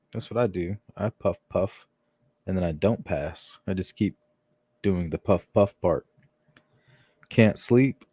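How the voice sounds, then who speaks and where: A man hosts a casual livestream and jokes about the comments viewers post. His voice is fairly low and warm. It is recorded close and dry, with no room sound. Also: dry recording. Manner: joking, chatty asides to viewers. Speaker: livestream host reading chat. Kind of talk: casual live chat.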